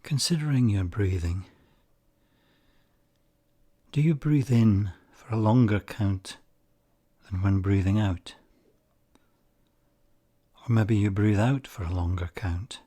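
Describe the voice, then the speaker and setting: A man guiding a meditation, speaking softly and calmly, some phrases nearly whispered. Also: fairly low pitch. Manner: soft-spoken, calm and unhurried, with breathy near-whispered phrases. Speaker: meditation guide. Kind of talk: slow guided meditation.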